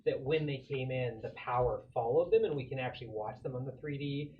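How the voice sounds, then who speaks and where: Male player, average pitch, informal table talk describing in-game actions, faint noise in the background. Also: brisk, phone-quality sound. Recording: faint room noise behind.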